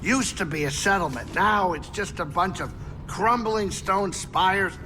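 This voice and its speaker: A man's voice: high voice, a little slow, in a large hall, music in the background. A man voicing a chummy, wisecracking spacefaring buddy in a video game, with an informal, jokey, folksy way of talking. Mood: breezy, chummy. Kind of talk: scripted video game dialogue.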